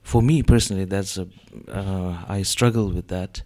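A man's voice: sits low, fairly even intonation, normal speed, dark tone. A man in his thirties, an artist speaking on a panel in a gallery, talking hesitantly with many fillers into a handheld mic. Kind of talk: panel discussion. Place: gallery room with audience.

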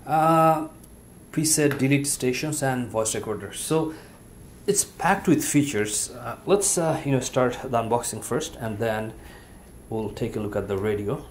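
A man with a medium-pitched voice presents a product in a casual, unscripted review style. His delivery is halting and hesitant. The recording was made in a home living room and carries faint but noticeable background noise. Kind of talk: casual unboxing and review commentary.

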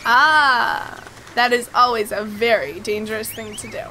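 Sentimental mother duck for audio drama, female actor; raised pitch, noisy outdoor ambience. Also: measured pace, bright, forceful tone. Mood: tender, fussy.

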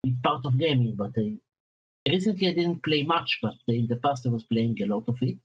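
A man in his late thirties with an Israeli accent, a guest speaking hesitantly with pauses over a video call. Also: mid-range voice, expressive, breathy edge, dull audio. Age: 30s. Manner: hesitant, plain phrasing with pauses. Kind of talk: casual interview chat.

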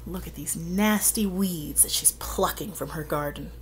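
Lady doing a kids storybook read-aloud, takes her time, hushed bits, faint room noise. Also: low voice, low-quality recording. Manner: measured, dramatic pauses, hushed breathy emphasis. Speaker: storyteller reading a picture book aloud. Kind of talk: expressive read-aloud for children. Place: indoor room at home.

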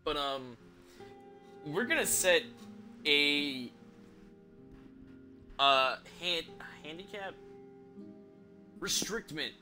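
A young man streams a game with a very bright, sharp-edged voice. He talks slowly and haltingly, feeling for words and swearing casually as he goes. His pitch sits in the middle for a man, and music plays behind him. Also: animated. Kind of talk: casual livestream chat over gameplay. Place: small indoor room at home.

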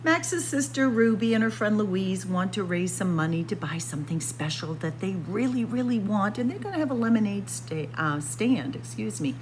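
A woman reading a picture book aloud to children as a storyteller, expressive and deliberate. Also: mid-range voice, slight background noise. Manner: expressive, deliberate, addressing young listeners as friends. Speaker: librarian storyteller. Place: indoor library room with bookshelves.

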